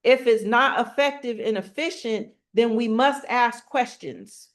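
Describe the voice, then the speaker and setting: A middle-aged woman talks about spirit and the stars in a strong, commanding voice that grows more forceful as she gets passionate. She stacks rhetorical questions and repeats phrases for emphasis. Her voice sits a little higher than most women's. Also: measured pace. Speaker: guest speaker on spirituality and astrology. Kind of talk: passionate conversational teaching.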